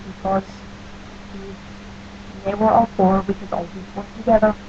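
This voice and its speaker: Deadpan New Jersey woman, oddly formal. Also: mid-range voice, measured pace, muffled recording, slight background noise. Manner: stiff, overly formal phrasing, avoids contractions, deadpan silliness. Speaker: audio blogger answering a question list. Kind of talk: casual audio post.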